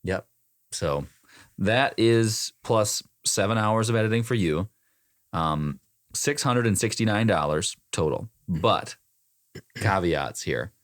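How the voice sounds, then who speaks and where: A young man with a very low voice, recorded close and dry with no room sound. He chats casually on a podcast and jokes and teases his co-host. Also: expressive, slow pace, no room sound. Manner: joking, teasing. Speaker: voice-over talent, podcast co-host. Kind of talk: casual chat.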